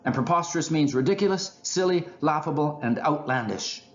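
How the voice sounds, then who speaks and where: A man voicing a skeptical, bossy but adventurous male squirrel in a children's storybook, brisk and insistent. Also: on the high side, lively intonation, echoey room, dull audio, slight background noise, voice pushed up, lightly acted. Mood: impatient. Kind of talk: children's storybook character reading.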